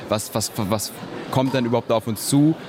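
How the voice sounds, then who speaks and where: A man's voice: medium pitch, normal speed, a crowd in the background. A young man, a school student, asking questions off the cuff. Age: young adult. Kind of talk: spontaneous questioning.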